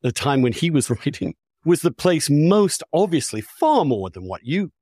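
A man's voice: a little high, sing-song, moderate pace, darkish tone, dry recording, studio quality. A man talking candidly and with strong opinions, articulate and emphatic, often restarting his phrases. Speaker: podcast host.

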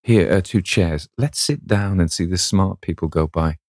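A man's voice: deep voice, moderate pace, a little mellow, dry recording, studio quality. A man voicing a young actress, dreamy and lovestruck, speaking in a breathless, rapturous way. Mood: coaxing.

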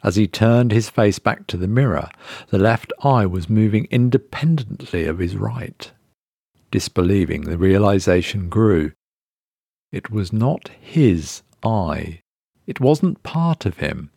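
Male narrator for scripted horror tale: low pitch, measured and suspenseful, close dry sound. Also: lively intonation, vocal fry, dark tone, husky, no echo. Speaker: narrator reading a horror short story.

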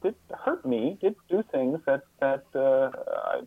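A man being interviewed as a guest, speaking reflectively and haltingly, often repeating words and restarting his sentences. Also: mid-range voice, animated, dry recording, phone-quality sound.

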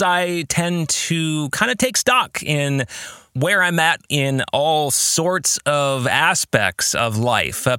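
Bright, animated male podcast host. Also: a little high, no echo, studio quality. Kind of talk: podcast monologue addressed to listeners.

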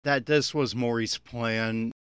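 A man's voice: medium pitch, fairly even intonation, slow pace, fairly bright tone, clear, resonant tone, dry recording, studio quality. A man giving unscripted, opinionated audio commentary on an anime episode, critical and exasperated in manner.

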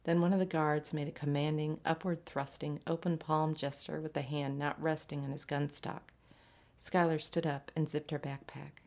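A woman reading a literary short story aloud in a measured, scripted narration. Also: deep voice, flat delivery, darkish tone. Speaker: story reader.

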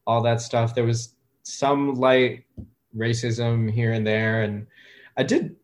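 Relaxed man in his thirties, halting chat. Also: medium pitch. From United States. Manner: relaxed, hesitant, many fillers and restarts. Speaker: guest, music producer. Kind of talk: casual interview chat.

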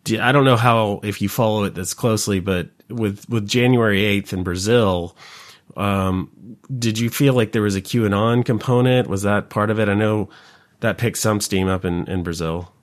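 A man's voice: lowish voice, normal speed. A man interviewing a guest on a podcast, phrasing his questions conversationally in a tentative, roundabout way.

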